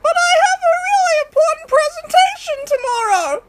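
Squeaky cracking high voice. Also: female voice, big pitch swings, a little fast, heavy background noise. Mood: whiny. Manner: squeaky, cracking, breaks into singing. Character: squeaky high-pitched adult with a strained voice.

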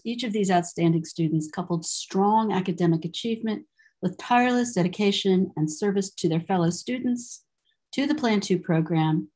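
An older woman delivering a formal, prepared commencement address in measured, deliberate phrases, speaking as the presiding academic on a video call. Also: fairly low pitch, a little mellow, slightly rough. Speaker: university program director presiding over a ceremony.

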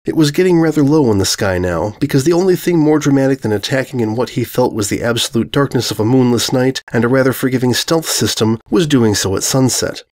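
A man's voice: medium pitch, animated, brisk, hardly any pauses, a little mellow. A man reading scripted comic fan fiction as narrator, in a dry, wry manner. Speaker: story narrator.

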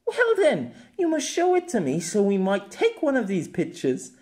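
A man's voice: high-pitched, huge pitch swings, normal speed, darkish tone, voice pushed up. A man voicing an imperious, theatrical young woman in an audiobook, speaking in a formal, pompous manner. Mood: eager. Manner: formal, pompous, overly dramatic. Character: youthful silver-haired woman, imperious, theatrical, childishly gleeful. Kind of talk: amateur audiobook character acting.

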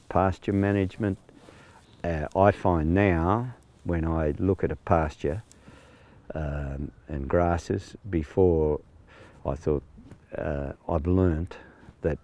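A man in his sixties with an Australian accent, a farm manager talking plainly outdoors, with many pauses and fillers. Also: extremely low pitch, mellow. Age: 60s. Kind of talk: on-location interview. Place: outdoors on a rural farm paddock.

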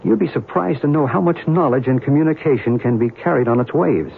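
A man's voice: mid-range voice, brisk, creaky, close to an everyday voice. An actor playing a knowing, courteous and mysterious American man in a 1970s radio drama. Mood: cryptic, assured. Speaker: actor and narrator. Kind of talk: 1970s radio drama acting and first-person narration. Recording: narrow-band, noisy old radio broadcast.

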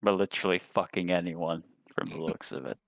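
A man playing a tabletop roleplaying game, talking casually with gruff, sweary banter. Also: deep voice, brisk, no room sound.

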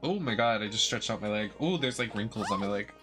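A young adult streamer, chatting casually in a campy, playful, drawn-out way. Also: medium pitch, lively intonation, music in the background. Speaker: game streamer. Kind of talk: casual livestream commentary. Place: indoor streaming setup.

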